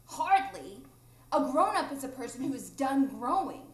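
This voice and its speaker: A woman's voice: high voice, sing-song, normal speed. A woman playing a cocky, playful boy who refuses to grow up, taunting in a live radio drama. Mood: cocky, mocking. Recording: echoey room, live stage recording.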